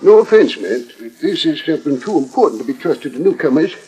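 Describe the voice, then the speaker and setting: A man's voice: a little high, sing-song, fast, mellow, breathy edge, rough recording. An American man playing a homespun, protective ranch boss and father in a 1940s radio western. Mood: hesitant. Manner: drawling, homespun cowboy talk. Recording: old radio disc, crowded background noise.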